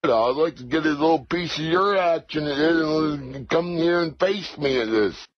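A man with a husky voice rambling on a call in a halting, repetitive, confrontational way with odd broken phrasing. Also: fairly high pitch. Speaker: prank call caller, played through a soundboard. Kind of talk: rambling phone talk. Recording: dull lo-fi soundboard clips.

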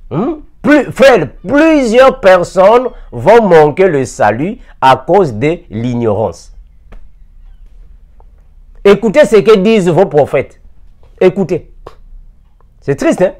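A man in his forties teaching on video from an armchair indoors, firing rhetorical questions at his listeners. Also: high-pitched. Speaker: preacher. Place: indoor room, seated in armchair. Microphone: lapel mic.

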